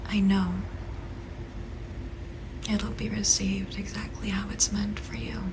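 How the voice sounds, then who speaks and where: A woman giving a meditative spiritual musing, reflective and halting, with long pauses. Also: mid-range voice, completely flat delivery, noisy background. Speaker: spiritual oracle card reader.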